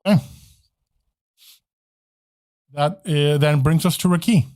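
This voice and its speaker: A man running a tabletop game as game master, narrating combat in a halting, self-correcting way. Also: fairly high pitch, darkish tone, dry recording, clean studio sound.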